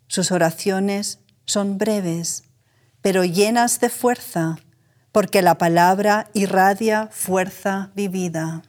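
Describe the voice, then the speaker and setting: A woman giving a measured, solemn scripted religious reading. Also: mid-range voice, a little mellow. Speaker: reader.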